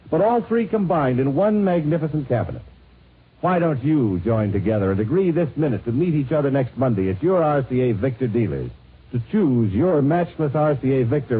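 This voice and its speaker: A man's voice: mid-range voice, husky, narrow, tinny audio. A man reading a scripted 1950s radio advertisement as an announcer, with an emphatic, polished sales delivery. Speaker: commercial announcer. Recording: band-limited, hissy 1950s radio broadcast.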